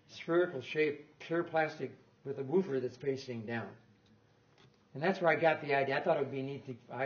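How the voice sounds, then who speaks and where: Older man, lecturer, rambling, chuckling, middle pitch, dull lo-fi room recording. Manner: rambling, self-correcting, with small chuckles. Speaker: guest lecturer on loudspeaker design. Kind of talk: informal technical presentation.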